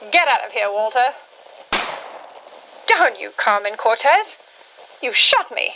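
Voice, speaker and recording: A woman playing a brisk, secretive undercover wartime agent in a radio drama. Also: on the high side, sing-song, noisy background, exaggerated voice. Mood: tense. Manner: urgent, scolding.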